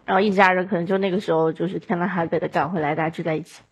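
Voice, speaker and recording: Slightly husky woman chatting casually. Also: fairly low pitch, steady tone, racing. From Chongqing, China. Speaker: podcast guest, audio drama producer. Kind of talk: casual chat.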